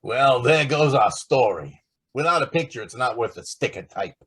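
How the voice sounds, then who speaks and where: A man's voice: mid-range voice, animated, moderate pace, fairly bright tone, husky. A man playing a keen, breezy, emphatic star reporter in an old-time radio drama. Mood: frustrated.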